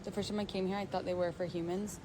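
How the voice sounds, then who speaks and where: A woman's voice: on the low side, little pitch movement, quick pace. American woman, chatty giggly vlog, store background noise, traffic sounds. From United States. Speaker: vlogger. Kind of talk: casual walk-around vlog. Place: supermarket.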